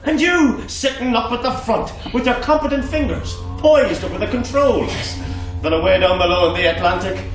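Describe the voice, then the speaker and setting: Young Irish male actor's stage role, exuberant and cheeky, very high voice, roomy theatre sound. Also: normal speed, music in the background. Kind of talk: theatrical stage monologue and duologue. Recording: echoey theatre recording with faint noise.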